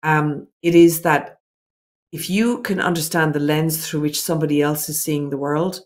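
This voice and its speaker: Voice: medium pitch, fairly even intonation, normal speed. A business owner answering interview questions earnestly and reflectively, working through ideas point by point.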